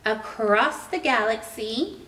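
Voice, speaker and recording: A young adult woman reading a children's picture book aloud as a storytime host, with deliberate, expressive storytelling for young children. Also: a little high. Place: indoor room with painted mural. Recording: roomy, distant mic, faint noise.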